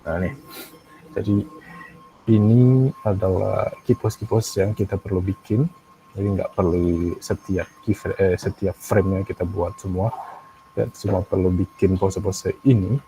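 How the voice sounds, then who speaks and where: A man with an Indonesian accent teaching an animation software tutorial, thinking aloud in a halting way with frequent pauses. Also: deep voice, fairly even intonation, soft background music, low-quality recording. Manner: halting, pausing often, frequent throat clearing. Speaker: animation instructor.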